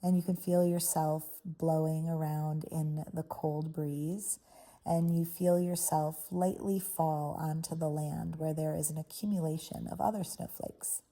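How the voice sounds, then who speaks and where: A woman with a very mellow, relaxed voice pitched a little low leads a guided visualization. She speaks soothingly in short phrases. Pauses between them give the delivery an unhurried feel. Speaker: guided meditation host. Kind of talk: guided meditation, soft guided visualization.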